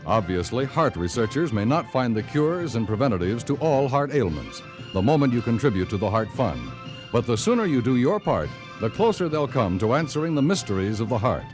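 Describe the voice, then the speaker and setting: An American man reading scripted 1950s radio announcements with formal, deliberate enunciation. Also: mid-range voice. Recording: vintage radio broadcast with music.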